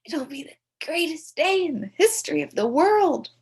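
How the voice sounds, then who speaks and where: A woman voicing a mischievous, excitable young schoolboy plotting pranks in a children's storybook. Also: sits high, moderate pace, gravelly, voice pushed up. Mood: gleeful conspiring. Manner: excitable, conspiratorial.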